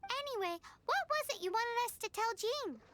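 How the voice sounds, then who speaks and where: A woman's voice: extremely high pitch, expressive, normal speed, fairly bright tone, dry recording. A woman voicing a tiny, cheeky, childlike fairy companion in an anime video game. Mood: curious. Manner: refers to self in third person, exclamatory, indignant. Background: music.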